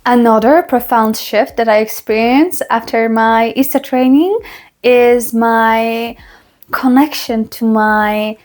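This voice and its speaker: A woman with a Polish accent giving a reflective personal testimonial to camera, speaking earnestly with thoughtful, halting pauses. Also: on the high side, fairly even intonation, a little mellow. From small town in Poland. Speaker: vlogger.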